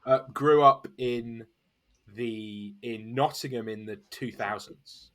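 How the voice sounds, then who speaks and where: Rambling young man, mid-pitched. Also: slow pace, fairly bright tone. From England, East Midlands. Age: 20s. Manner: rambling and self-correcting, with frequent "uh" and "like". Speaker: guest game designer. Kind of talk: casual podcast interview.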